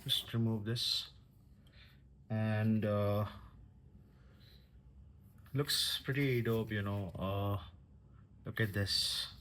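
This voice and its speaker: A man giving casual, halting commentary as a vlogger unboxing a product. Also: fairly low pitch, steady tone, fairly bright tone, slight background noise.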